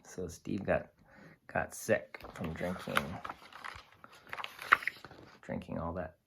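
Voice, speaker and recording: A storyteller reading a picture book aloud to young children in a deliberate, expressive way, pausing to explain simply. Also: deep voice, gravelly, noisy background. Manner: slow, deliberate, explains and asks simple questions.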